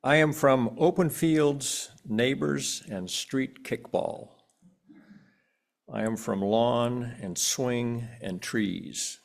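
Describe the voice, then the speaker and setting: A man reading his own personal poem aloud at a church pulpit in a measured, plain way. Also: medium pitch, gravelly. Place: church sanctuary.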